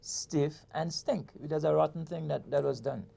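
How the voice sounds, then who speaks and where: Gravelly man explaining at length. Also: on the high side, moderate pace, dark tone. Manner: thoughtful, repeats words as he searches for them. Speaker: poet and author, guest. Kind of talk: conversational interview, explaining his poems.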